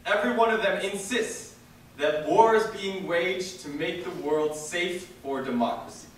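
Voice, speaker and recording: A young man reading a political speech as theatrical oratory, declaiming with dramatic pauses and emphasis. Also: high voice. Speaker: reader of a historical speech. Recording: echoey hall from far off, faint noise.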